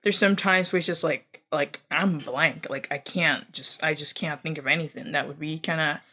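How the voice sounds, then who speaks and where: A woman's voice: low-pitched, steady tone, normal speed, bright, forceful tone, slightly rough. A woman co-hosting a podcast in casual chat, rambling and hesitant, filling her speech with "like". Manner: rambling, heavy use of "like", hesitant. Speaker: podcast co-host.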